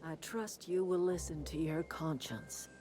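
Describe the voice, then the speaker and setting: A woman's voice: deep voice, measured pace, heavy background noise. A woman voicing a righteous, stern woman leader in a video game, grave and formal as she persuades. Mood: indignant, persuasive. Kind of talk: video game dialogue acting.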